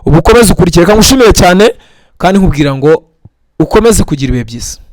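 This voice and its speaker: A man's voice: sits high, big pitch swings, fast talker, a little mellow, dry recording. A male news presenter reading a report, then earnestly asking viewers to comment and giving blessings. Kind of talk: news narration and sign-off.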